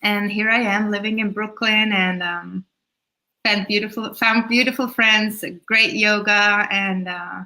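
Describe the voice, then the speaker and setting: Bright-voiced woman from Switzerland. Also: mid-range voice, steady tone, a little slow. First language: Swiss German. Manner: chatty, laughs between thoughts. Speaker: guest, yoga teacher. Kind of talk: casual interview talk.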